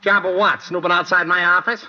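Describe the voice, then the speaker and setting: An actor playing a gruff, bullying, hot-tempered lawyer in an old-time radio drama, curt and scornful. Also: male voice, sits high, level tone, a little fast, soft background music, lightly acted. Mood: angry, scornful. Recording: dull vintage radio drama recording.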